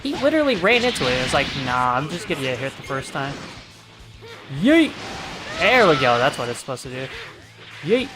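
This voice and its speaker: A game streamer giving casual, playful commentary on play, with excitable outbursts. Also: mid-range voice, fairly quick, pauses often, music in the background. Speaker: livestreamer. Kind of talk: casual gameplay commentary with shouted move names.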